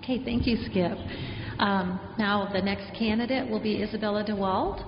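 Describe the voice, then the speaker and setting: A woman moderates a candidate forum, brisk and businesslike in a mid-range voice. She sounds through a dull mic in a large echoing hall with a low hum behind.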